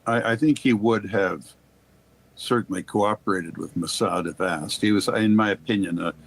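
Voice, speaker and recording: An older man from Canada speaks as an interview guest in a low, husky voice. He tells anecdotes in an erudite, formal way, wandering into asides and restarting his phrases as he goes. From Quebec, Canada. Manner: erudite, discursive, halting with repeated starts, formal wording. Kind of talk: anecdotal storytelling in conversation.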